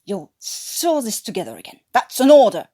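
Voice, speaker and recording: A woman voicing a stern, vengeful male flight sergeant in a story reading, forceful, stiff and commanding. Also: a little high, big pitch swings, moderate pace. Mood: furious.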